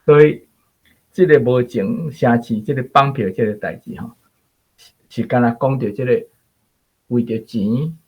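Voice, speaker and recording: A man with a Taiwanese accent giving halting, analytical commentary on literature, point by point as a guest. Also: medium pitch, breathy edge, muffled recording.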